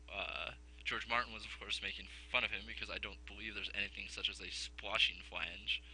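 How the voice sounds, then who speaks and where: A man with a rough, gravelly voice from a cold, casually explaining software as a tutorial host in a loosely phrased way. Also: lowish voice, low-quality recording. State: has a cold and cough. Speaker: music production tutorial host.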